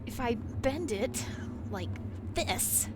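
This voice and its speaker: An actress playing an anxious, resourceful young daughter in a video game, urgent and excitable. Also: female voice, a little high, extremely slow. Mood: worried. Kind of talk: video game character acting. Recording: loud music behind the voice.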